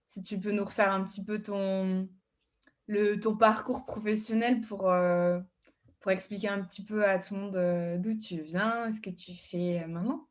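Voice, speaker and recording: A woman's voice: mid-range voice, fairly even intonation, normal speed. A woman hosting a podcast in a casual, familiar conversational way. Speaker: podcast host. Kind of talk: casual chat.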